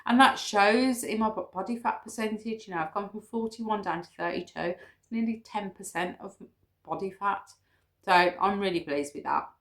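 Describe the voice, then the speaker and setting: A woman's voice: medium pitch, normal speed, a bit bright. A British woman in her fifties talking casually to camera, explaining her figures step by step with frequent ums. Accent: British English. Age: 50s. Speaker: weight-loss vlogger.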